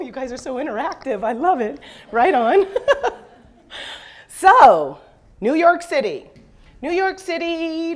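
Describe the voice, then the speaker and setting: An American woman from Colorado giving an informal, animated business presentation on stage, engaging her listeners with questions and stories. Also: fairly high pitch. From Denver, Colorado, United States. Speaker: motivational business speaker, branding expert. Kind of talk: live presentation with storytelling. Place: live event stage with an audience.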